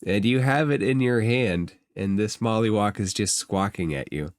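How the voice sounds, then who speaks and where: A man narrating a tabletop game as game master in a halting, informal, conversational way. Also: lowish voice, no echo, studio quality.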